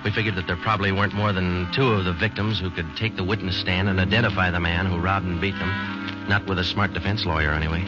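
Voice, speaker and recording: Deep, deadpan actor as a 1940s radio police sergeant. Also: male voice, fast talker, natural voice. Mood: terse, deadpan. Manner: deadpan, matter-of-fact, terse. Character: stoic, businesslike male police sergeant, narrating his cases. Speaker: detective and narrator. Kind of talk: 1940s radio crime drama, clipped narration. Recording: dull old radio recording, loud music.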